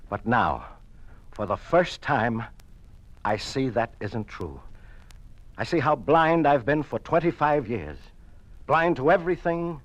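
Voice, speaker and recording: An actor playing a conflicted American communist politician in an old-time radio drama, speaking in an earnest, declamatory, halting way. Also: male voice, medium pitch, lo-fi sound. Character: conflicted American communist politician turning defiant against his bosses.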